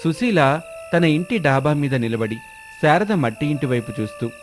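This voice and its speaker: A man's voice: a little high, expressive, normal speed, soft background music. A man narrating a scripted moral story as storyteller.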